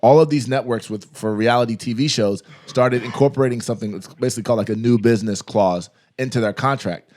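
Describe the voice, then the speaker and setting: A man's voice: medium pitch, fast talker, few pauses, darkish tone. A man hosting a podcast in casual chat, giving advice and walking through contract examples. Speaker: podcast host.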